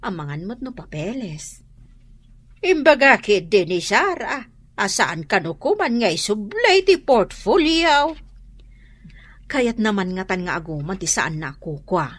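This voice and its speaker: A woman's voice: mid-range voice, big pitch swings, unhurried, fairly bright tone, no echo. A woman with a Filipino accent playing a complaining family member in an Ilocano radio melodrama. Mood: aggrieved. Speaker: drama actor. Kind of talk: Ilocano radio drama acting.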